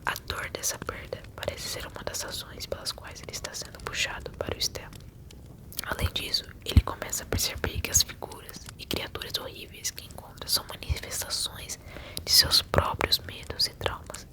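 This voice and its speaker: Whispering Brazilian woman, ASMR storyteller. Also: moderate pace. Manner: soft, intimate, soothing delivery. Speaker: ASMR video creator telling game stories.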